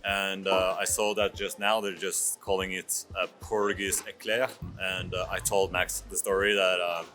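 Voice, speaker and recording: A man in his thirties from France, narrating a travel vlog in a casual, halting way. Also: low voice, flat delivery, sharp tone, faint music behind. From France, moved to Norway at six. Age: 30s. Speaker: vlogger.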